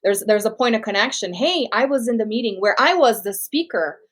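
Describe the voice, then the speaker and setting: A woman's voice: a little high, expressive, a little fast, a bit bright. A woman from Brazil with a Brazilian accent, a guest on an interview podcast, talking in an upbeat, encouraging way and laughing easily. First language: Portuguese. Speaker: guest speaker.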